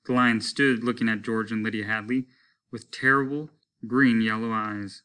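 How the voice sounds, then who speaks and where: A male teacher reading a short story aloud in a measured, descriptive way. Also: on the low side, steady tone, pushed and bright, smooth.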